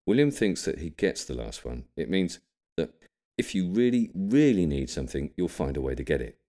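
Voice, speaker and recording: A male audiobook narrator with an extremely deep voice. He reads scripted fiction as a storyteller, pitched right at the bottom of the range. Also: very animated, normal speed, warm tone.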